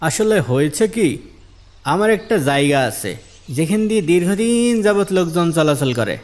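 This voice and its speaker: A man's voice: high voice, expressive, normal speed, darkish tone. A man playing an earnest, respectful villager in a moral cartoon, explaining himself politely. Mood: earnest, grateful. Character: earnest, respectful male villager seeking a judge's ruling. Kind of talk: Islamic moral cartoon dialogue.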